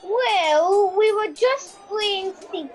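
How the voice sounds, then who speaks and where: A woman's voice: very high-pitched, animated, extremely slow, soft background music, heavily acted. A woman playing a chatty, childlike Scottish soldier spinning a tale in a radio comedy play. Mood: chatty, cheeky.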